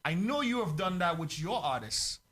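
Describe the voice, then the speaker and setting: Fairly high-voiced male interviewer, earnest. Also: big pitch swings, normal speed, a bit bright. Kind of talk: radio interview.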